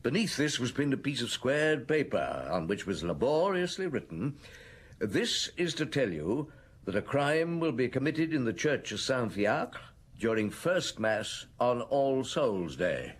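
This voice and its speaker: A man's voice: mid-range voice, sing-song, unhurried, husky. An actor playing a middle-aged French police chief inspector in a radio drama, musing and wry as he narrates. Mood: dry, inquiring.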